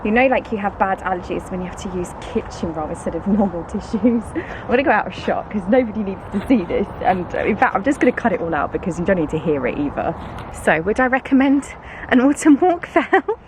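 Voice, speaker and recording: A woman's voice: mid-range voice, lively intonation, brisk. A British woman with a congested, bunged-up nose, walking through the woods as she chats to camera in a self-mocking way and laughs often. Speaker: vlogger and schoolteacher. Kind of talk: casual vlog chat while walking. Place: woods outdoors. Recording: noisy outdoor camera recording. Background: outdoor ambience, passing vehicles.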